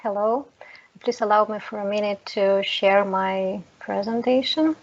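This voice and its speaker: A woman from Lithuania, a native Russian speaker, giving an online presentation in a hesitant, halting way over a dull, slightly noisy call. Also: medium pitch. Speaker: seminar presenter.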